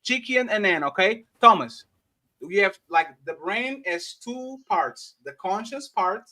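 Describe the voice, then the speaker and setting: High-voiced man cutting in to moderate. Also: animated, unhurried, sharp tone. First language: Portuguese. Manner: cuts in with repeated hey and one second, then explains carefully. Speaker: panelist moderating the debate. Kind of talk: heated debate on a livestream panel.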